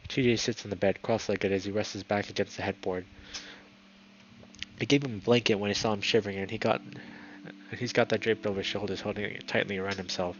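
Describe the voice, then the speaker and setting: A man reading a visual novel aloud in expressive, dramatic narration, now and then stumbling over words. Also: lowish voice, brisk, dull audio, a little hiss or hum.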